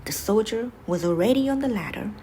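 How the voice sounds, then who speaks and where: A woman's voice: fairly high pitch, lively intonation, a little fast, dark tone. Woman storyteller with noisy background. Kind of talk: expressive bedtime fairy-tale narration.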